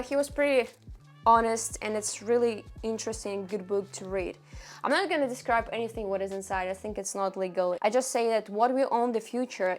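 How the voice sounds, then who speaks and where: A woman who is not a native English speaker, chatting casually to camera about books in halting, friendly phrasing with restarts. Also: medium pitch. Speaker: book vlogger.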